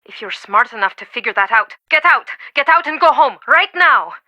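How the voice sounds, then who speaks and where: A devoted, easily affronted woman who runs a museum in a video game. Her voice is strikingly bright and crisp-edged, set fairly high, and recorded dry and close. She phrases deliberately and emphatically, with earnest dramatic weight. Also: lively intonation, no echo. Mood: outraged.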